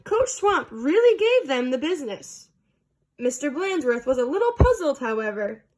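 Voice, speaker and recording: A woman's voice: sits high, sing-song, moderate pace, darkish tone. A woman reading a children's storybook aloud as a narrator.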